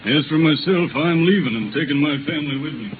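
An actor playing a worried, earnest frontier neighbor with a grave, formal manner in 1930s radio style. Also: male voice, fairly high pitch, brisk, narrow, tinny audio, lightly acted. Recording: noisy, thin 1930s radio recording.

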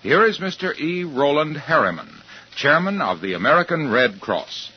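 A man's voice: fairly high pitch, big pitch swings, moderate pace, husky. A man delivering a 1950s radio commercial as an announcer, emphatic and polished like a salesman. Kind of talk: 1950s radio advertising pitch. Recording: dull vintage radio broadcast recording.

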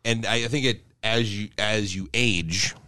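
Rambling thirtysomething guy, lowish voice. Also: fairly even intonation, measured pace, sharp tone, no room sound. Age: 30s. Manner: rambling, self-mocking storyteller. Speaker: co-host. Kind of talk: casual podcast banter.

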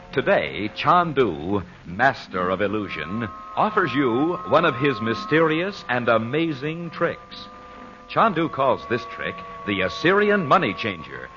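A man announcing and narrating an old-time radio show in a theatrical, deliberate, emphatic scripted style. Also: mid-range voice. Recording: dull old radio recording, faint music.

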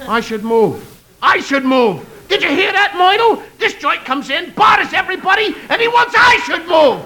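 A man playing a blustering, combative working-class guy with a gravelly New York accent, shouting and sputtering in 1950s radio comedy style. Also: extremely high pitch, sing-song, normal speed, exaggerated voice. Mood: irate, blustering. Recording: noisy old 1950s radio recording.

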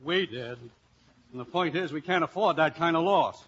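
A man playing a brisk, exasperated, bossy insurance company chief in a 1950s radio play. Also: a little high, a bit bright, breathy edge. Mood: brisk, pressing. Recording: dull vintage radio broadcast.

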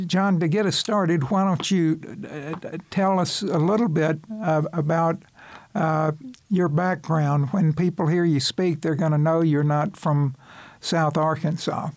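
A man's voice: a little high, a little mellow, no room sound. A man conducting a radio interview, asking questions in a hesitant way with stammered restarts.

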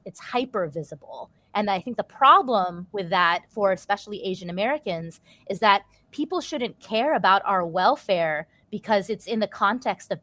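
A woman's voice: medium pitch, fairly bright tone, dry recording. An articulate, assertive woman speaking earnestly in a panel discussion.

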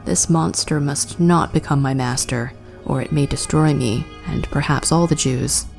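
A woman reading the part of a solemn, stern old male rabbi in a storybook tale, speaking slowly and gravely. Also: low voice, a little mellow, soft background music. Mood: worried.

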